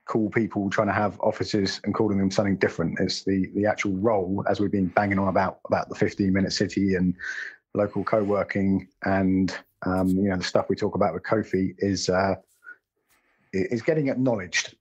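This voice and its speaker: A man's voice: low voice, level tone, a little fast, breathy edge. A man co-hosting a podcast, rambling in casual chat with wry asides and self-corrections. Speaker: podcast co-host. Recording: dull lo-fi sound.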